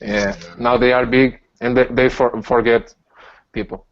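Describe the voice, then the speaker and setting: Portuguese-accented man, halting speech. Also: medium pitch, level tone, lo-fi sound. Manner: halting, searching for words, laughing often. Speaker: guest reviewer. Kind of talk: casual chat on a video call.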